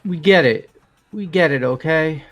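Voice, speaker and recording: Man acting panicked, high-voiced cowardly student. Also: a little slow, a bit bright, soft background music. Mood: desperate, pleading. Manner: pleading. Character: panicked, cowardly male student begging for his life. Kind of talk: fan voice-over of a video game.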